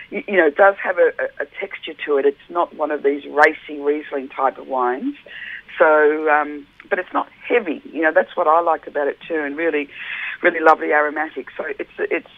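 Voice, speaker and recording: A woman with a husky voice, a wine judge talking on the radio as a guest, enthusiastic and rambling with lots of fillers. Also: sits low. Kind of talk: casual radio phone interview. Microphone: telephone. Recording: narrow tinny phone line.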